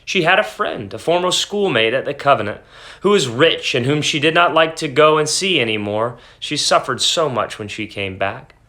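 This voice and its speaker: A man giving a dramatic reading of a classic story, breaking off now and then to explain the plot in quick asides. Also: mid-range voice, expressive, bright, forceful tone. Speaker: reader.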